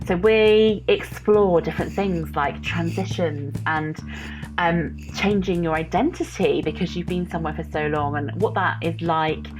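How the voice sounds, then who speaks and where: A woman's voice: lowish voice, unhurried, music in the background, low-quality recording. A woman hosting a podcast, introducing it and her guest in a friendly, conversational style. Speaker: podcast host.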